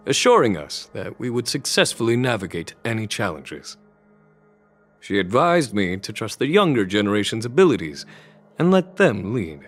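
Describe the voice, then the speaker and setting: A composed, diplomatic leader in a fantasy game. His voice sits fairly low and moves expressively while staying polite and measured. Soft music runs underneath. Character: composed, courteous male commander, thoughtful and diplomatic. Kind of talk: scripted video game dialogue.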